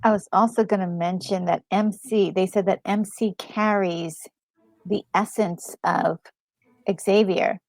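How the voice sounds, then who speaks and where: A woman's voice: mid-range voice, fairly even intonation, a little slow, darkish tone, no echo, soft background music. Dry-sounding woman co-hosting game commentary. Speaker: co-host. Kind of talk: casual chat reacting to a game.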